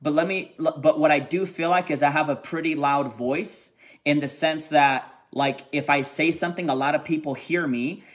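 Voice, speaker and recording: A man's voice: a little high, fairly even intonation, moderate pace. A man giving a motivational talk to his team, repeating himself and checking in with the listener. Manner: repetitive, filler-heavy, keeps checking in with "right?" and "okay?". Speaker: company leader addressing team.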